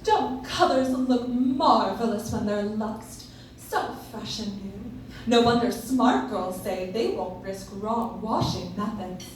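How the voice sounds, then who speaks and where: A woman's voice: on the high side, slow pace. A woman playing a chatty, admiring spokeswoman in a scripted 1940s-style live radio commercial. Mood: admiring, promotional. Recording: echoey distant audience recording, faint noise.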